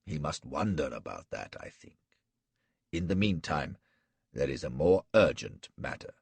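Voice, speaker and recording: A man voicing a cruel, mocking male warlord in an audiobook, speaking with slow, measured menace in a gravelly, husky voice. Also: very deep voice, very animated, no echo, full character voice. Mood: menacing. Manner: slow, gloating, measured threats. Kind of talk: audiobook character reading.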